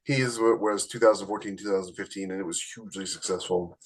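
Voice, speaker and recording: A man's voice: sits low, fairly even intonation, quick pace, breathy edge. A man co-hosting a casual podcast conversation, often restarting his sentences as he recalls details. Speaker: podcast co-host and author.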